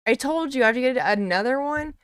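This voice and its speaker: Woman, high pitch, clean tone, dry sound, game streamer, casual banter, defensive, sweary. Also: lively intonation, fast, smooth, no room sound.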